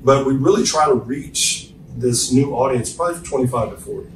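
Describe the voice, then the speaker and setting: A man's voice: medium pitch, a little fast, a little hiss or hum. A man hosting a podcast in a hotel suite, talking in a friendly, upbeat, chatty way. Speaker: podcast host. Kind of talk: casual interview. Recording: roomy hotel suite, distant mic.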